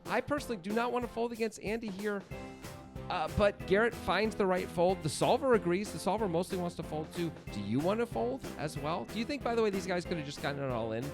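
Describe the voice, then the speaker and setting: A man's voice: sits high, lively intonation, fast talker, over music. A man co-hosting a poker show, talking through hands conversationally and acting out imagined lines. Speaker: poker podcast co-host. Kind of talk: casual chat and analysis.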